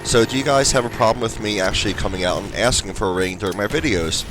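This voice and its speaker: A man's voice: fairly low pitch, fast talker, over music, poor audio. A stuffed-up young man who hosts a gaming channel, chatting casually with fillers as he comments over gameplay. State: stuffed up, congested. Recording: game gunfire noise behind.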